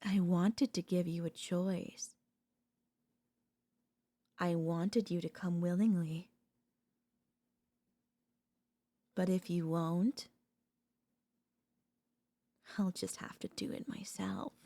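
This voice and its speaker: A woman voice actor playing an obsessive older female professor in an ASMR audio roleplay, coaxing and deliberate, with dramatic pauses. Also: fairly low pitch, steady tone, mellow. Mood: condescending, menacing.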